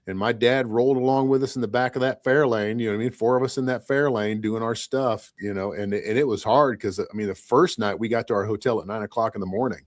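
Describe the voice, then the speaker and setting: A man in his fifties from Michigan, talking in a rambling, reflective way in a casual podcast chat. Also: medium pitch. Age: 50s. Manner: rambling, reflective, often says "you know what I mean".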